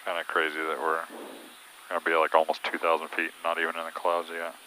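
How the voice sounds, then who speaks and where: Male pilot, deep and sharp, relaxed cockpit chat and radio calls over a faintly noisy intercom. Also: a little fast. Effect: aviation intercom headset. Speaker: pilot monitoring and handling radio. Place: small airplane cockpit in flight. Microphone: aviation headset.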